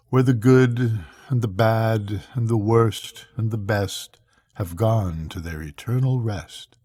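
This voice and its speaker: Older grandpa type guy reading poems at bedtime, mellow kinda raspy voice, takes it real slow and solemn. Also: deep voice, no echo. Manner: measured, solemn, with pauses between phrases. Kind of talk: slow dramatic poetry reading.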